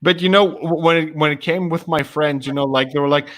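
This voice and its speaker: A young man with a deep voice chats casually on a stream. He rambles through stories in an animated way, full of fillers and restarts. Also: a little fast. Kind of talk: casual chat.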